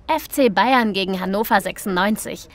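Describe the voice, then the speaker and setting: A woman's voice: medium pitch, normal speed, natural voice. A woman playing an easygoing, informal younger passer-by, chatting casually in a scripted language-lesson dialogue.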